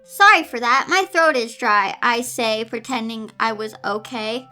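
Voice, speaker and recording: A woman's voice: on the high side, measured pace, bright, forceful tone, faint music behind. A woman reading a story aloud as a depressed, sarcastic young girl narrating her own misery. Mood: bitter, sarcastic. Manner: self-pitying, sarcastic. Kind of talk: amateur dramatic story reading.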